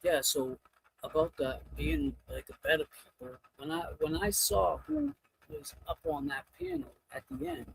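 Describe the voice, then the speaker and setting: A man with a high, animated voice chats casually as a livestream host. His speech has a gravelly fry to it and rambles along, often dropping a thought halfway. There is faint noise behind him. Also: poor audio. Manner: rambling, halting, often breaking off mid-thought.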